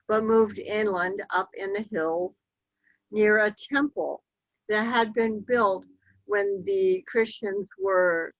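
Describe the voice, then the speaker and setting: A woman giving a slide-show history talk, telling the story in a measured way with occasional ums. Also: medium pitch, a bit bright, dry recording. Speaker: local history speaker. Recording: dull, lo-fi dry recording.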